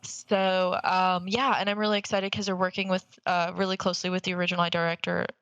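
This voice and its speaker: A woman co-hosting a podcast in casual, excitable chat, informal and given to swearing. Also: lowish voice, fairly quick, clear, resonant tone, no echo. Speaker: podcast co-host. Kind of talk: casual enthusiastic chat about anime.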